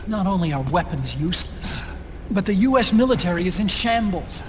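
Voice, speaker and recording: A man's voice: high-pitched, animated, a little fast, slight background noise. A man playing an anxious, earnest scientist sidekick in a video game, speaking in a pleading, troubled manner. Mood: despairing. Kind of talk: dramatic video game cutscene acting.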